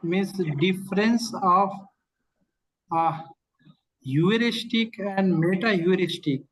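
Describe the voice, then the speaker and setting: An online instructor answers questions in a live technical lecture. The delivery is halting and repetitive, with frequent small verbal tags punctuating each step. The pitch sits in a middle range. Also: rough recording.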